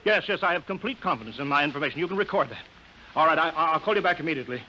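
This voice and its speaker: An old radio broadcast with faint noise carries a forceful, bright-voiced American man running a rocket base. He is terse and steady, with grave weariness beneath the reassurance, in 1950s drama style. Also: a little high, very fast. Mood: urgent. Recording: noisy 1950 radio broadcast recording.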